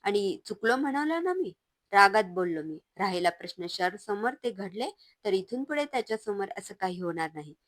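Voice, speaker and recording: A domineering husband who barks and sneers at his wife, as a woman reading a story puts him on. The voice swings lively up and down and sits dry and close. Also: mid-range voice, normal speed, dry recording, natural voice. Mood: placating. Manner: shouting, scornful, loud. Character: angry domineering husband, a man.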